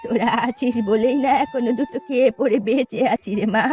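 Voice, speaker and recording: A woman's voice: a little high, a little fast, a little mellow, natural voice. A woman voicing a frail, plaintive old beggar aunt in a cartoon. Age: older. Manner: plaintive, complaining. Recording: music under the voice.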